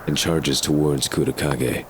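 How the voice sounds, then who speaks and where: Extremely deep-voiced male narrator. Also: fairly even intonation, unhurried. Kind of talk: scripted audio drama narration. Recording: clear background ambience noise.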